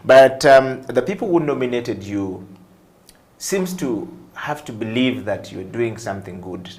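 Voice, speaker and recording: A man hosting an interview, phrasing his questions in a deliberate, emphatic way. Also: medium pitch, lively intonation, a little mellow. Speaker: talk show host. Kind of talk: conversational interviewing.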